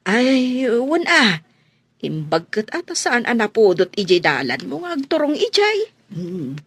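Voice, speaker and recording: Slightly husky, friendly Filipino village woman, acted. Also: a little high, wildly swooping pitch, unhurried, no echo, heavily acted. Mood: sympathetic, chatty. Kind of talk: Philippine radio drama acting.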